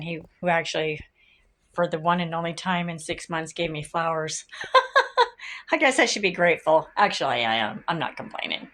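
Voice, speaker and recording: A middle-aged woman, slightly raspy this morning, chatting casually and rambling into her phone as she walks from outside into her home. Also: deep voice, fairly bright tone. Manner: rambling, easily distracted, laughs often. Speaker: vlogger. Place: outdoors then inside a home. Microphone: phone held by hand.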